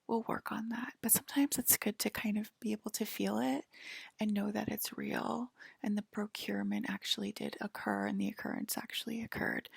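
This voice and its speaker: Creaky, whispering ASMR woman, very close. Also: no room sound. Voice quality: breathy whisper mixed with soft, creaky low talk. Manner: hesitant, reassuring, clinical patter with frequent checking "okay?". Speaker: ASMR roleplay performer. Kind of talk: whispered ASMR roleplay, speaking to the listener as a patient.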